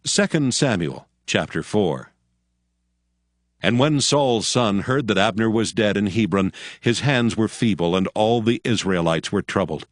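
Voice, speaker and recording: A man narrating a dramatized Bible in a measured, solemn storytelling style. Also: fairly low pitch, lively intonation, dry recording, studio quality.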